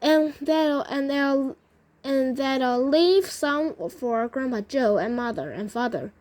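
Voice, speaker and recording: A woman's voice: high voice, animated, slow, darkish tone. A woman reading a storybook and voicing an eager young boy full of wonder, exclaiming wide-eyed. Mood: pleading.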